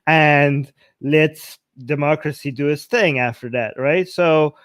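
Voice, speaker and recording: A middle-aged man co-hosting a live Q&A chat, playful and self-mocking, halting with fillers. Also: fairly high pitch, fairly bright tone, no room sound, studio quality. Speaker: co-host.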